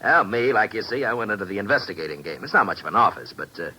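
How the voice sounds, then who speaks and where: An old radio drama voice, hissy and bright: a washed-up American ex-athlete turned shady private eye. He talks in edgy slang with jittery bravado. The recording is noisy and vintage. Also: on the low side, sing-song, fast, full character voice. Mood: false bravado. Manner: slangy, edgy tough talk. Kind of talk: 1950s radio drama acting.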